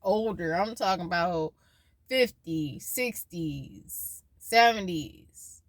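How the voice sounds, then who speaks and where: A woman in her late twenties talks to camera in a loose, wandering vlog, circling back and forgetting what she meant to say. Her voice sits a little low, with a worried, faith-leaning tone. The sound is close and dry, with no room around it. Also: expressive, takes it slowly, dry recording. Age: 20s. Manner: rambling, loses her train of thought; worried, faith-filled musing. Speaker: vlogger. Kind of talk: casual personal vlog.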